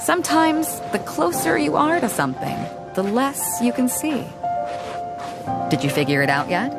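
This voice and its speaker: A woman voicing a confident, easygoing female ship captain in a video game cutscene, speaking in a relaxed, knowing way. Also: high voice, normal speed. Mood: sly. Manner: relaxed, knowing, laughs often. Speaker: fleet captain. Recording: game music under the voice.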